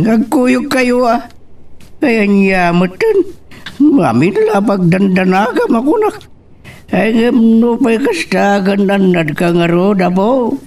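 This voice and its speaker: A man's voice: sits high, a little mellow, far from the mic. A man playing a fretful, scolding confidant in a radio family drama. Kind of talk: Ilocano radio drama acting.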